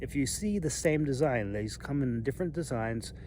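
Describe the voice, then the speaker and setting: Man in his thirties, casual review, halting and wry, unfinished sentences, outdoor phone audio, faint background noise. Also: mid-range voice, expressive, darkish tone. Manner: hesitant, wry, trailing off mid-thought. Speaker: amateur knife reviewer. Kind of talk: casual rambling product review. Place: outdoors in a snowy open river valley. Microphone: phone at arm's length.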